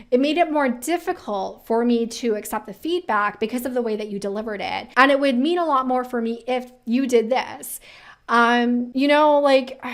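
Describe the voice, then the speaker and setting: A woman giving casual, opinionated commentary as a career advice host, talking in an animated, emphatic way with rhetorical questions. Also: a little high.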